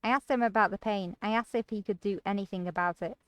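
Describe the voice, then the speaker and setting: A woman's voice: medium pitch, steady tone, fast, fairly bright tone, clear, resonant tone, no room sound. A woman streamer reading visual novel narration expressively, with casual asides to viewers. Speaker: game streamer.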